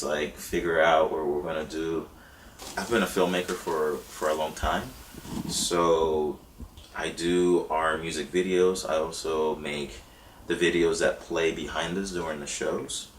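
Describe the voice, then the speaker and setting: A man's voice: rumbling low, fairly even intonation, a little slow, fairly bright tone, echoey room, distant mic, a little hiss or hum. A man who is a musician and filmmaker talking casually about his work, rambling with fillers. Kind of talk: casual interview talk.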